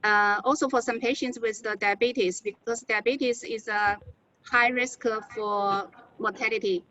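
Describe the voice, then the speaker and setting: A woman physician from China with a Chinese accent, speaking halting non-native English with repeated words as she presents to colleagues on a medical conference call. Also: a little high, steady tone, sharp tone. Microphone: conference call connection. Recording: dull, band-limited conference call audio.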